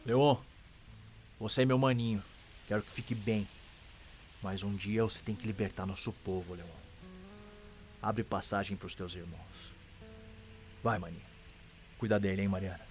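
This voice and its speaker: A man's voice: fairly low pitch, fairly even intonation, normal speed, pauses often, soft background music, natural voice. An actor playing a resolute, protective enslaved young man in a radio drama, with a Brazilian accent and rustic, colloquial speech. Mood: tender, solemn.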